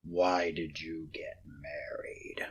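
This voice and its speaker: A man voicing a miserly, bitter old moneylender in a low, growling, half-whispered voice, in a storybook reading. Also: fairly even intonation, takes it slowly, breathy edge, exaggerated voice. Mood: curt, grumbling.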